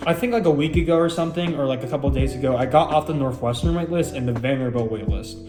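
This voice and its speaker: A man's voice: medium pitch, fast. A young man in his late teens, rambling casually through viewer questions with lots of fillers and self-deprecating asides. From United States. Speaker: YouTuber answering viewer questions. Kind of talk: casual Q&A chat. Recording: roomy room with background music.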